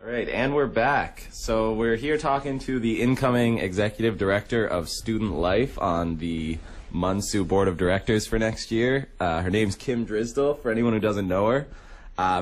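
Low-voiced young male radio host. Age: 20s. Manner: informal, slangy. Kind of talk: casual interview chat.

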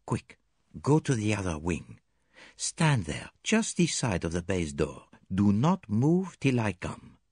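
A man voicing a precise, excitable Belgian male detective with a fussy French-tinged manner. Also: fairly low pitch, slow, slightly rough, dry recording, studio quality, full character voice. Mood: urgent hushed.

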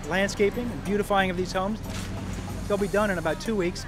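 A man with a high voice describes the work in an upbeat, explanatory way. Music plays behind him throughout. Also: steady tone, a little fast. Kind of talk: scripted narration and interview.

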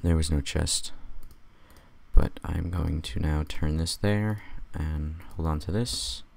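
A man just out of bed with a raspy voice, rambling through a casual let's-play commentary with wry jokes. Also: extremely low pitch, slow pace, a little mellow. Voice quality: raspy, gravelly. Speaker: gamer doing a let's play.

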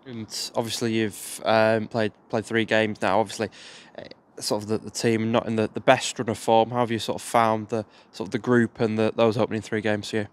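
A man's voice: fairly low pitch, flat delivery, a little fast, few pauses. A man asking hesitant, filler-heavy interview questions outdoors in a stadium stand into a handheld mic. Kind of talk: club media interview.

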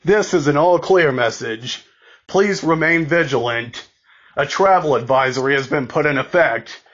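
A man delivers an emergency alert in a somewhat high-pitched voice. He reads slowly and formally, halting and repeating words as he goes. The recording is dull and lo-fi. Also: fairly bright tone. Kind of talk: scripted emergency broadcast announcement, amateur reading.

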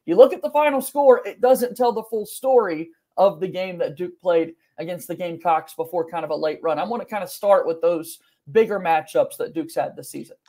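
A man's voice: high-pitched, a little fast, hardly any pauses, warm tone. A young man hosting a sports podcast in a conversational way, recorded in a room at home. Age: young adult. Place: small home room, indoors.

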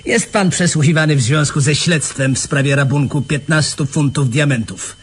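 A man acting in a radio play as a gruff police lieutenant, brusque and mocking as he questions a suspect. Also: a little high, moderate pace, slight background noise, lightly acted. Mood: sardonic, official. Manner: mocking, brusque, with scornful chuckles.

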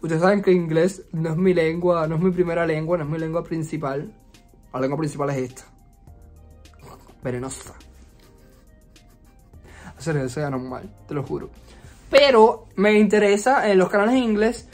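A man's voice: a little high, moderate pace, long pauses, faint music behind. A young man with a Cuban Spanish accent, chatting expressively and sassily in a casual storytime vlog. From Cuba. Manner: chatty and expressive, frequent fillers, playful sass. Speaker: YouTuber. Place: resort vacation rental.

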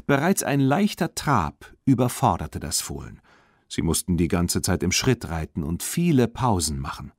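A man narrates a children's story in standard German with a low voice. His pitch moves in lively swings, and the recording is dry and close, with no room sound. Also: dry recording. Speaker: audiobook narrator.

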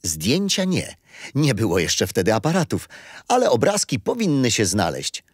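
Grandfather, patient and kindly storyteller for a children's history play, animated intonation. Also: medium pitch, normal speed, slight background noise. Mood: kindly, explaining. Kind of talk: children's radio play acting.

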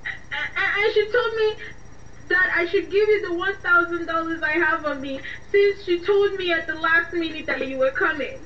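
Radio drama: anxious young Nigerian student, stammering and pleading, heard a bit far off in a dull recording. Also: female voice, very high voice, normal speed. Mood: tearful, hurt. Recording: dull, echoey, distant, slightly noisy.

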